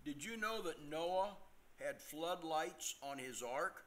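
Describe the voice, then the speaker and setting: An older man playing a corny, genial joke-teller in an amateur staged reading, pausing deliberately before his punchlines. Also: fairly high pitch, expressive, a bit bright. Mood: jokey, eager.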